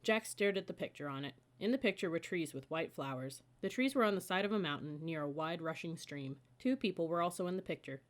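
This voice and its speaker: A woman's voice: lowish voice, expressive, brisk, hardly any pauses. A woman narrating a children's storybook as an audiobook reader.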